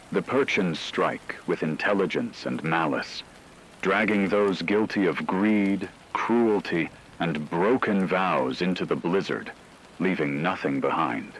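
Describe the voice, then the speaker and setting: A man playing a grave radio reporter, narrating a supernatural catastrophe in a solemn, deliberate, scripted news style. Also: low-pitched, fairly bright tone, slight background noise, rough recording.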